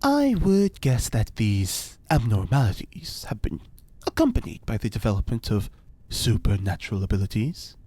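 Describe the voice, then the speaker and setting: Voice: medium pitch, very animated, moderate pace, warm tone, slightly rough, no echo. Pompous, swooping eccentric mad scientist, acted out. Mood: matter-of-fact, eager. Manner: grandiose, pedantic, theatrical. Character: eccentric pompous male mad scientist, ex-cult leader performing rituals. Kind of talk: audio fiction podcast acting.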